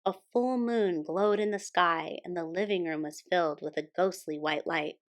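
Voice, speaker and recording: A woman hosting a storytime and reading a children's picture book aloud as expressive scripted narration. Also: on the low side, moderate pace. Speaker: storytime host and reader.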